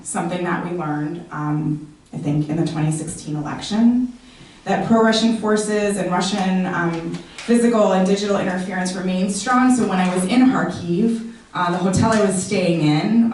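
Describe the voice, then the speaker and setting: American woman giving a talk, lowish voice, lots of ums, sounds far off in a really echoey room. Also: a little mellow, a little hiss or hum. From United States. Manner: conversational, many "um" fillers, explanatory. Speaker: guest speaker. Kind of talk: informal presentation with slides. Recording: echoey room, distant mic.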